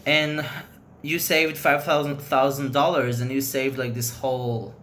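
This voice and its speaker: A man's voice: medium pitch, flat delivery, a little slow, a bit bright. Native Hebrew-speaking man vlogger, mid-range voice, loose frank chat, light background noise. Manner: rambling, loose, frank opinions. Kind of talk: casual chat to camera.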